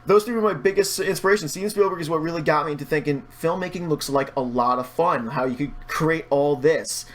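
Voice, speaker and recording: A man with a somewhat high voice talks as a podcast guest. He is casual and opinionated, rambling through his points and swearing freely. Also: fairly quick, hardly any pauses, a little hiss or hum. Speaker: podcast guest, video creator. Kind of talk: casual podcast interview chat.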